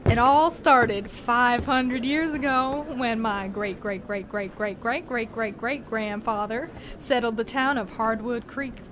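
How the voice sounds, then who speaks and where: A woman's voice: fairly high pitch, a little slow, hardly any pauses, background noise, natural voice. A woman playing a genial, long-winded, folksy male cowboy posse leader in a western audio drama. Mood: nostalgic, rambling. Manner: rambling, folksy, theatrical storytelling.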